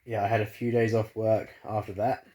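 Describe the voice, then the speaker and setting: Man, home vlogger, congested, rambling delivery, low mellow tone, phone-recorded in living room. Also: little pitch movement, a little fast. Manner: rambling, many fillers and restarts. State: congested after flu. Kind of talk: casual chat to camera. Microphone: phone camera.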